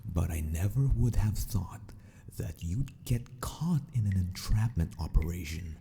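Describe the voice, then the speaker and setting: A man acting a sadistic, possessive mad scientist in an ASMR roleplay, speaking with formal, mock-polite theatricality and often slipping toward a breathy whisper. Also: low-pitched, animated, unhurried, dark tone, exaggerated voice. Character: sadistic, possessive male mad scientist of an empire, mockingly courteous. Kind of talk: ASMR villain roleplay monologue.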